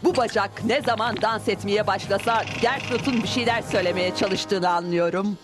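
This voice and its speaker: A woman's voice: mid-range voice, moderate pace, natural voice. An actress playing an eccentric, bossy spiritualist ghost hunter in a cartoon dub, earnest and theatrical as she lectures. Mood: earnest, insistent. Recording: music behind the voice.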